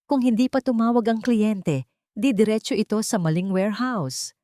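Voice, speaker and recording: A woman's voice: mid-range voice, moderate pace, warm tone, no room sound, clean studio sound, voice pushed up, natural voice. Woman voicing a stern, accusing Filipino office manager. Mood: furious. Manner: accusing, formal. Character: stern, angry office manager demanding answers.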